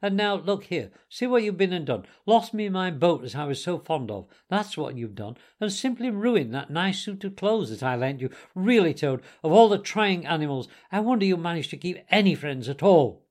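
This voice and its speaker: A man's voice: high-pitched, lively intonation, normal speed, few pauses, darkish tone, voice pushed up. A man voicing a cross male water rat who lectures and reproaches his friend.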